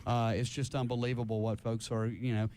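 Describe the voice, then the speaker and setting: A man's voice: medium pitch, flat delivery, fairly quick, a little mellow, slight background noise. A man hosting a casual live radio interview, rambling on enthusiastically in a studio. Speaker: radio host.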